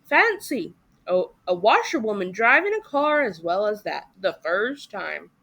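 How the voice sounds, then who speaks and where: A woman voicing a kindly, hearty gentleman from a storybook, speaking in polite exclamations. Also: medium pitch, very animated, measured pace, exaggerated voice. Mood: approving.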